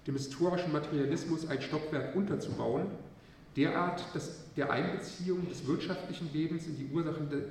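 A man's voice: mid-range voice, darkish tone, a little hiss or hum. A male university lecturer with a cold gives an academic lecture, hesitant and full of filler sounds. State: has a cold. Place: lecture hall. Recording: echoey lecture hall from far off.

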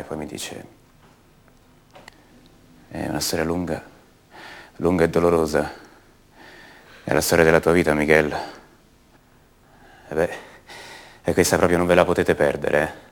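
A man acting a bewildered young man shaken about who he is, confiding haltingly and repeating words in a radio theatre monologue. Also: low-pitched.

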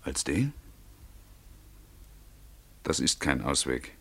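An actor in a German radio play playing an anxious, cautious secret lover of a married woman, hushed, hesitant and urgent. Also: extremely low pitch, big pitch swings, drawn-out words, creaky, slightly rough, exaggerated voice. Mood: fearful, tempted.

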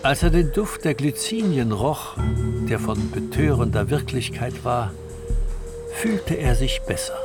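A man narrates a radio play in scripted, literary style. His pitch sits in the middle range. Music runs strongly beneath the narration. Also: sing-song, a little slow, creaky.

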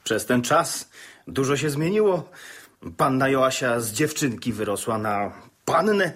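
A teasing gentleman bachelor in a period comedy radio play. His voice is slightly husky and moves freely up and down. He is courteous on the surface, with dry, ironic wit. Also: medium pitch, animated, measured pace. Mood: courteous, wry.

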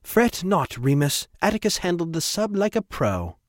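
A man's voice: high voice, big pitch swings, normal speed, no echo, clean studio sound, pitched above the performer's own voice. A man voicing an imperious, impatient rich man in command of his yacht in an audiobook, speaking in a commanding, theatrical way. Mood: breezy. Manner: commanding, theatrical, grandly jovial. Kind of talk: audiobook character acting.

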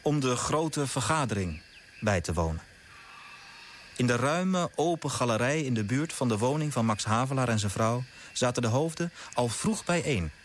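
A man's voice: mid-range voice, expressive, moderate pace, slight background noise, close to an everyday voice. A man playing an earnest young storyteller who narrates a colonial tale vividly in a radio play. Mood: vivid, measured. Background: music under the voice.

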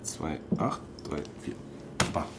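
An actor in a radio drama playing a weary, dry-witted young doctor with a laconic way of talking. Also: male voice, takes it slowly, loud music behind the voice, natural voice. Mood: casual, interested. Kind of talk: naturalistic German radio drama.

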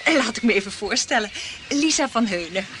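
An actress playing a flirtatious, well-spoken woman client in a detective radio play, coy and flattering. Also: fairly high pitch, a little fast, noisy background, natural voice.

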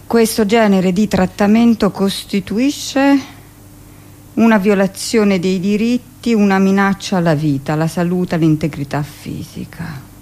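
A woman performing a measured, deliberate dramatic reading of a formal declaration. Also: mid-range voice, fairly even intonation, dark tone. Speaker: stage and radio performer.

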